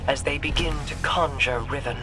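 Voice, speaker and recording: An actor playing a stern alien ruler who speaks formally and gives commands. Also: male voice, medium pitch, normal speed, close to an everyday voice. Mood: commanding. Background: music.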